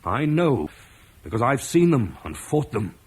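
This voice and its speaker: A husky, weary Roman officer. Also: male voice, medium pitch, very animated, unhurried, darkish tone. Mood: earnest. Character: weary Roman officer Marcus, duty-bound soldier. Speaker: Roman commander leaving Britain. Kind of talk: 1950s BBC radio drama acting.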